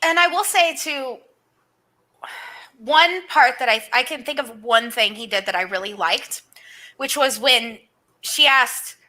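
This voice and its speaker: A woman guest on a show, chatting in an animated, opinionated way. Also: on the high side, a little slow, long pauses. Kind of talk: casual chat.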